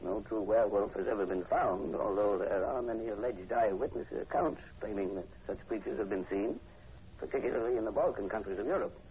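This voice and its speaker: An actor playing an earnest university professor, measured and polite with hesitant pauses, in 1940s radio horror style. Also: male voice, on the low side, mellow, natural voice. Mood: grave, scholarly. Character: earnest, courteous university professor investigating werewolves. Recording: dull, noisy old radio broadcast recording.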